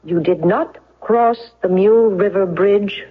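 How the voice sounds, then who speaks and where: A woman's voice: medium pitch, unhurried, slightly rough. A woman playing a commanding, relentless defense lawyer in a 1970s radio courtroom drama, speaking in an emphatic, accusatory way. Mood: confident, pressing. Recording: dull, lo-fi old radio recording.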